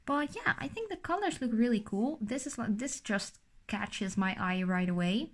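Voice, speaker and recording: A woman's voice: fairly high pitch, animated, moderate pace. A young adult woman whose first language is Dutch, chatting casually through a makeup unboxing with a sore throat and a rambling, filler-heavy manner. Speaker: beauty vlogger.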